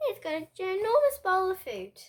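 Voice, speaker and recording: A child reading a picture book aloud in an expressive, halting way, with asides to young listeners. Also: very high-pitched. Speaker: child reader, host of a kids' book channel.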